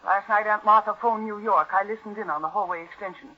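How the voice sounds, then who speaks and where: An actress playing an ambitious, two-faced Broadway star in a 1940s radio drama, with an affected, theatrical delivery. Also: female voice, medium pitch, quick pace, soft background music, close to an everyday voice. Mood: agitated, sharp. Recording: muffled vintage radio drama recording.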